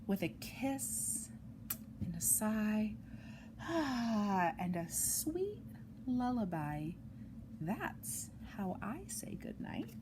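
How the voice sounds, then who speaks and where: A woman reads a picture book aloud to young children in an expressive, sing-song way, adding chatty asides, on an amateur home recording. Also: a little high, background noise. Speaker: storytime reader for young children.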